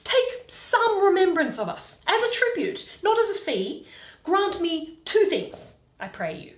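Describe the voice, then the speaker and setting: Woman playing a pleading young gentleman, high voice, earnest, lilting Shakespearean verse, echoey room. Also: huge pitch swings, unhurried, exaggerated voice. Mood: courteous pleading. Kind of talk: Shakespearean stage reading. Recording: roomy, echoey indoor recording.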